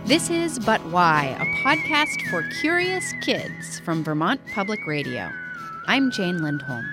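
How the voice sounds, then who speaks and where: A woman's voice: medium pitch, wildly swooping pitch, a little slow, over loud music. A woman hosting a podcast for children, narrating in an upbeat, playful way. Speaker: podcast host.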